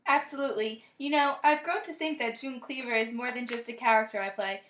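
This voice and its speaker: A woman's voice: on the high side, a little fast, a bit bright, lightly acted. A young woman playing a gracious 1950s sitcom actress and devoted housewife in an earnest, rehearsed mock television interview. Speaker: interview guest. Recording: echoey room, student recording.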